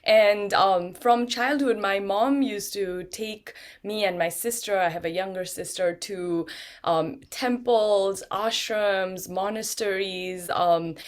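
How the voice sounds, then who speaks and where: A woman's voice: medium pitch, slow. A middle-aged woman from Nepal, a guest on a video call interview, talking in a thoughtful, casual way with frequent ums and restarts. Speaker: guest, neuroscientist and yoga teacher.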